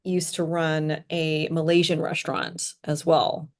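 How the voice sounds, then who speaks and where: A woman's voice: low voice, flat delivery, a little slow, a little mellow. A woman from Hong Kong hosting a conversational interview, reflective and full of "you know" and "right?". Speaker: podcast host.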